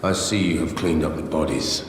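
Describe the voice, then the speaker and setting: Very deep-voiced, curt mercenary warrior. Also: male voice, normal speed, slight background noise. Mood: businesslike. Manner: terse, curt. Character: gruff, aloof mercenary warrior, self-reliant and blunt. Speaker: game protagonist. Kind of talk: fantasy game dialogue acting. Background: loud background music.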